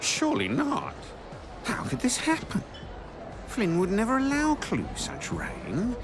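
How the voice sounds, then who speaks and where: An actor playing a flamboyant, self-assured club owner in a video game, speaking with grandiose, theatrical diction over game music. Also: male voice, high voice, huge pitch swings, unhurried, exaggerated voice. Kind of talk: video game cutscene acting. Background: game score music.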